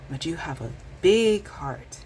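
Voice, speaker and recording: A woman voicing a big, gentle, sleepy male bear in a bedtime storybook, speaking tenderly. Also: low voice, lively intonation, takes it slowly, slight background noise, pitched above the performer's own voice, low-quality recording.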